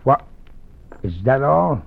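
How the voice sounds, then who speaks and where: An actor playing a grumbling western outlaw henchman with a rough, gravelly cowboy drawl, in an old radio drama. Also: medium pitch, expressive, slow, heavily acted. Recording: muffled old radio recording.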